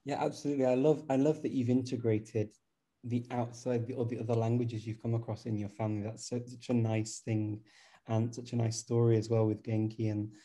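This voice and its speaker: A man's voice: medium pitch, flat delivery, brisk, mellow. A man hosting a conversational interview, asking friendly, rambling questions with frequent restarts. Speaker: host.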